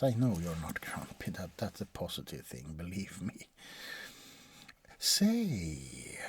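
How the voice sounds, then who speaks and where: A man playing a bored, centuries-old vampire doctor in a soft-spoken ASMR roleplay, rambling hesitantly with weary, morbid dryness. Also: on the low side, a little hiss or hum. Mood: morbidly amused.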